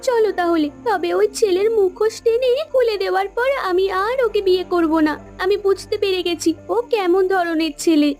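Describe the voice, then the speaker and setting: Very high-pitched, plaintive jilted young woman acted out. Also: moderate pace, hardly any pauses, a little mellow, faint music behind. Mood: hesitant. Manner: plaintive, hesitant. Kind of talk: Bengali cartoon story acting.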